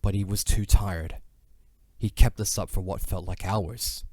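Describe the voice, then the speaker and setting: A man's voice: low voice, steady tone, a little slow, dark tone, dry recording, clean studio sound. A man narrating a fantasy audio drama in a dramatic, scripted storytelling style.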